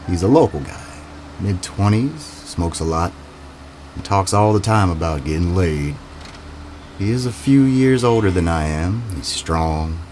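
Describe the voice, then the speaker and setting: A man as a low-voiced, reflective young farmhand narrator. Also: darkish tone, slight background noise. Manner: reflective, plainspoken. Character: earnest, reflective seventeen-year-old farmhand narrating his summer. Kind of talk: scripted first-person narration.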